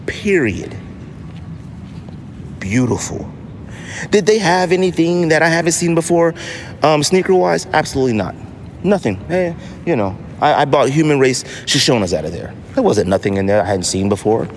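A middle-aged American man hosting a casual solo podcast, telling stories in an animated, slangy, emphatic way. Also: on the high side, moderate pace, a little hiss or hum. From United States. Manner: animated, slangy storytelling with mock voices for others; emphatic, with repetition for effect. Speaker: podcast host.

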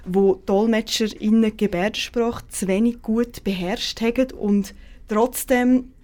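A woman's voice: fairly high pitch, fairly even intonation, measured pace, dark tone. A woman hosting a conversation, asking long, loosely phrased questions with many fillers. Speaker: podcast host. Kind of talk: conversational interview.

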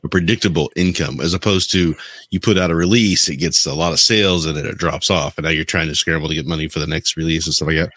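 A man with a deep voice, recorded close and dry, chats as a podcast co-host. He is explanatory and opinionated, laying out his points in an informal discussion. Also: steady tone, fast, a bit bright, no room sound. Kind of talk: casual tech discussion.

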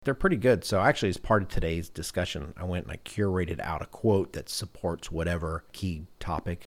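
A man's voice: sits low, brisk. A man hosting a podcast in casual conversation, telling anecdotes in a self-deprecating way. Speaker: podcast host.

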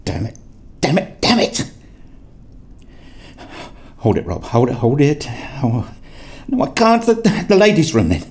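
A man's voice: fairly high pitch, huge pitch swings, a little slow, pauses often, darkish tone, slightly rough, full character voice. A man playing a self-deprecating, nerdy father who tells his own story in a radio play in wry, formal wording. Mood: panicked. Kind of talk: first-person radio play narration.

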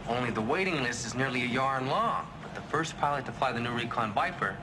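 An actor playing an earnest, teasing young military officer with a wry manner in a television science fiction drama. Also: male voice, medium pitch, expressive, fairly quick, natural voice. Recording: noisy TV soundtrack, vehicle-like hum.

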